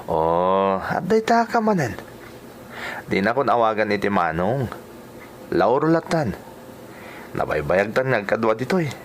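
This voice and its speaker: A flirty, low-voiced driver. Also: male voice, very animated, a little slow, long pauses, a little hiss or hum. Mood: flirtatious. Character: Lauro, flirtatious, persuasive male driver courting a coworker. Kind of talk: Ilocano radio drama acting.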